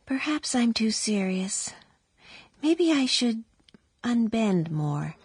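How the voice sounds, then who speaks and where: An earnest, husky-voiced mother. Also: on the high side, big pitch swings, slow pace, no room sound, heavily acted. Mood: reserved. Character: Pearl, earnest anxious young wife and mother in 1940s America. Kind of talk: radio drama acting.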